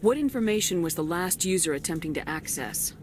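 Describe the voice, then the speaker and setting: A woman's voice: on the low side, animated, brisk, noisy background, close to an everyday voice. A woman playing a resolute military commander in a video game, with a direct, businesslike manner. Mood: brisk, probing.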